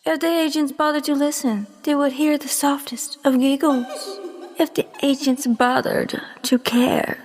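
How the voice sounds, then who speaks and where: A woman voicing an ominous storyteller, narrating an audio drama in a hushed, breathy, half-whispered delivery. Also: sits high, level tone, a little slow, in a large hall, pitched above the performer's own voice.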